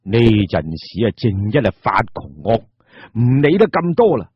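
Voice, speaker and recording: A man narrating a radio drama as a storyteller, with a dramatic, animated and colloquial delivery. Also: mid-range voice, normal speed, breathy edge, highs cut off.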